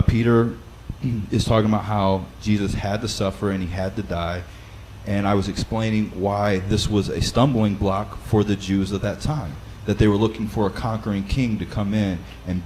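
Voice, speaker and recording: A man with a fairly low, loud voice talks through practical advice like a teacher walking a class through it. He speaks conversationally, often pausing on fillers and restarting phrases. A faint hum of background noise sits under the recording. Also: poor audio. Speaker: Bible study teacher. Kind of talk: instructional talk.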